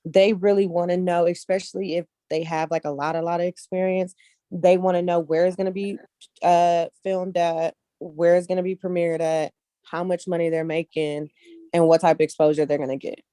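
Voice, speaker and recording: Mellow, low-pitched woman chatting. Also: flat delivery, moderate pace, dry recording. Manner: conversational, emphatic repetition, often checks listener follows. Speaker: interview guest, casting director and actress. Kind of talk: casual interview conversation.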